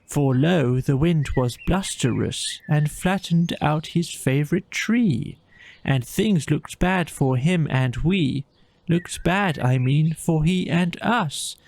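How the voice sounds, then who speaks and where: A man voicing a gentle, thoughtful bear who fancies himself a poet, speaking hesitantly in a storybook audio play. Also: a little high, expressive, takes it slowly, gravelly, pitched above the performer's own voice, full character voice. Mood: reciting proudly. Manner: hesitant, thoughtful, with small ums. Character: gentle, musing bear of little brain, amateur poet.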